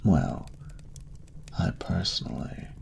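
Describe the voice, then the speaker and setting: A man voicing a proud, flirtatious male dragon in an intimate romantic audio roleplay, with a gravelly, half-whispered rumble and formal, grandiose, unhurried phrasing. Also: close to an everyday voice. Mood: smooth, proud.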